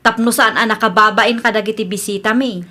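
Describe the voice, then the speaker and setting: A woman's voice: medium pitch, fairly even intonation, brisk, pushed and bright, lightly acted. A woman with an Ilocano Filipino accent playing a bossy, impatient employer in a radio comedy drama.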